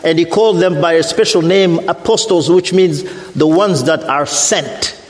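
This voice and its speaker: A middle-aged man from Africa with a French accent, preaching a sermon as a guest missionary, deliberate and repeating his points. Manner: deliberate, repeats phrases, rises in pitch when preaching. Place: church sanctuary. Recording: echoey church hall.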